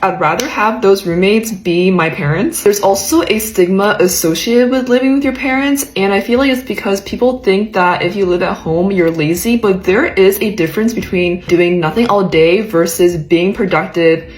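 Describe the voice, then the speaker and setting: A woman's voice: mid-range voice, normal speed, few pauses, low-quality recording. A woman in her twenties from the US, earnestly explaining her choice in a personal video clip. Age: 20s.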